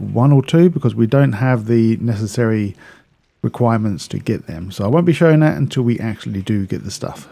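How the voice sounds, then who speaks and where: A man's voice: mid-range voice, creaky, warm tone. A man narrating a video game walkthrough in a casual, instructional way, explaining step by step and often repeating or correcting himself.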